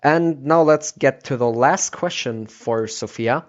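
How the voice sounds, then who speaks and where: A man's voice: mid-range voice, measured pace. Male podcast host, mid-range voice, casual conversational interviewer, slightly muffled call-quality recording. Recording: dull lo-fi internet call audio.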